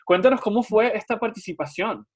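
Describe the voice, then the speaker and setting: A man's voice: sits high, lively intonation, brisk, slightly rough. A man hosting a music podcast, with an upbeat, welcoming presenter's manner. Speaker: podcast host. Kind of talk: podcast interview.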